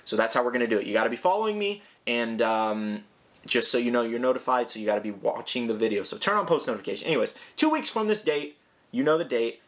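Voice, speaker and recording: A young man with a hoarse, raspy voice from a sore throat, chatting casually as he unboxes a collectible toy in his home room. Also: mid-range voice, animated, fast, a bit bright. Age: young adult. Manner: chatty, rambling, eager.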